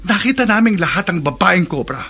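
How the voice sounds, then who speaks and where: Urgent Filipino man, bright forceful voice. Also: high-pitched, animated, brisk, slightly rough, full character voice. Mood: urgent, earnest. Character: earnest, alarmed young man warning of a killer. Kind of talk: horror radio drama acting.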